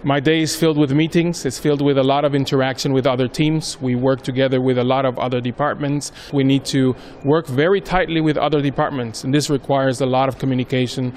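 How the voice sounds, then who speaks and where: A man's voice: medium pitch, steady tone, quick pace, few pauses, darkish tone, a little hiss or hum. A man in his thirties from Honduras, a native Spanish speaker with an American-schooled accent, answering interview questions conversationally with frequent fillers. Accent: American-schooled Honduran English. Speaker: strategy manager at a postal company.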